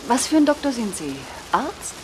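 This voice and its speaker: Forthright young activist, noisy recording. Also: female voice, fairly high pitch, sing-song, normal speed, a little mellow. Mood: curious, teasing. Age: young adult. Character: forthright, informal young female anti-war activist. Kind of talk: German radio crime drama acting. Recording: noisy archive radio drama recording.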